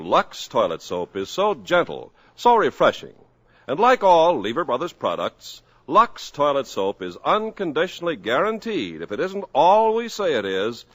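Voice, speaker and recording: A man's voice: a little high, a bit bright. A man announcing a soap ad and station break on a 1950s radio show, lilting and persuasive. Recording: old radio broadcast recording.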